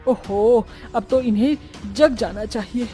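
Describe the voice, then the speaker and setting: A woman's voice: on the high side, unhurried, warm tone, faint music behind, close to an everyday voice. A woman voicing a young, lovestruck and bossy witch bride in a horror-comedy cartoon. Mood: excited, impatient.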